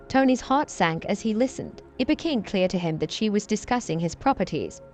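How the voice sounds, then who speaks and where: A woman reading a dramatic story in an even, unhurried scripted narration. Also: mid-range voice.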